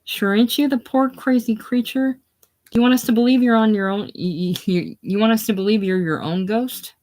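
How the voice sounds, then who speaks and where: A reader voicing a gruff old farmer who mocks and jeers loudly. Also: medium pitch, lively intonation, moderate pace. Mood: threatening. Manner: loud, teasing, contemptuous. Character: gruff old Irish farmer, mocking and jeering.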